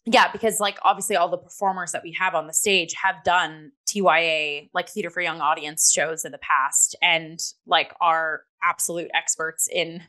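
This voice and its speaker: A woman co-hosting a casual review talk show, speaking in an animated, conversational way peppered with filler words. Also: fairly low pitch, normal speed, hardly any pauses, rough recording.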